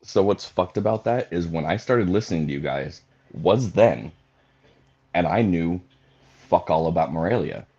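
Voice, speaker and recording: Deep husky male guest on a call. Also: animated, moderate pace, a little mellow, lo-fi sound. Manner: blunt, profane, dry humor. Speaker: podcast guest. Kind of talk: casual banter among reptile keepers.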